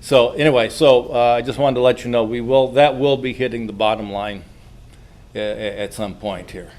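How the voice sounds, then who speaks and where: A man hosting an informal club meeting, speaking to the room in a folksy, halting way with repeats and self-interruptions. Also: medium pitch, fairly even intonation, a little mellow. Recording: noisy live meeting room recording.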